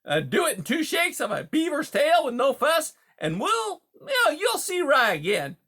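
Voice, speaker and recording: Loud, high-pitched, pushy beaver. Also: huge pitch swings, moderate pace, heavily acted. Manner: brash, folksy, talking over others. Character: huge male beaver, pushy cliché-spouting smooth-talking bully. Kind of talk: audiobook character dialogue.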